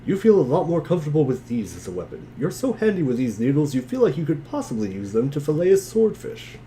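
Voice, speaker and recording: A man's voice: on the high side, sing-song, quick pace, warm tone, slight background noise. A man giving a wry, theatrical dramatic reading of narration and dialogue, dropping into casual asides. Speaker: reader in a group dramatic reading.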